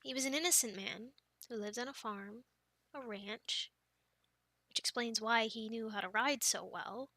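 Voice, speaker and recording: A high, bright young female voice , acted by a woman, plays a friend sharing spooky stories in an ASMR roleplay. She is expressive and playful, slipping toward breathy softness. The sound is close and very dry. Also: unhurried, dry recording, heavily acted. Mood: hushed, solemn. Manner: expressive, teasing, breaking into breathy near-whispers.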